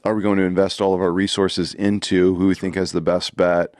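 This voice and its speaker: A man's voice: deep voice, level tone, a little fast, darkish tone, dry recording, studio quality. A man hosting a podcast interview, asking questions and encouraging his guest with frequent short replies. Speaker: podcast host. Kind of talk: casual conversational interview.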